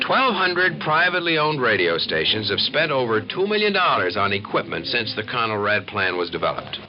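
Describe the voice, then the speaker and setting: A man's voice: on the low side, sing-song, normal speed. An American man playing an earnest, assured civil defense expert who explains and narrates in a 1950s radio documentary. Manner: explanatory, folksy, emphatic. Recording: dull old radio recording with music.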